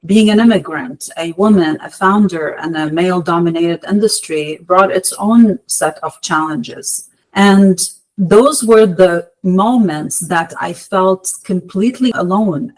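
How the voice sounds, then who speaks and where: A woman from Lebanon giving measured, articulate, motivational answers as an interview guest, with emphatic phrasing. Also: fairly low pitch, poor audio. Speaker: podcast guest, entrepreneur.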